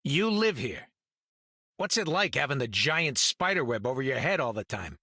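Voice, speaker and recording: Gravelly, wisecracking little raccoon creature. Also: male voice, mid-range voice, sing-song, normal speed, bright, forceful tone, no echo, studio quality, full character voice. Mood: snarky. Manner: streetwise, cheeky, informal. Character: wisecracking, greedy, gruff small male raccoon creature. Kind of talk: video game character dialogue.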